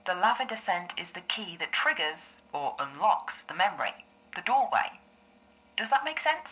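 A woman playing a calm, reassuring therapist in an audio drama, speaking in a measured, explanatory way. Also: on the low side, lo-fi sound. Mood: calm, explaining. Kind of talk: scripted audio drama dialogue.

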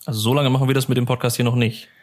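A man's voice: medium pitch, level tone, rapid-fire. A man interviewing as a podcast host in an informal, lightly teasing chat style.

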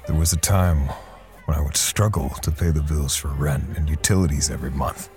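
A man's voice: rumbling low, brisk, darkish tone, soft background music. A man playing a lonely, awkward artist in a first-person audio drama, with a self-conscious manner. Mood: wistful narration. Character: lonely, awkward male artist narrating his story.

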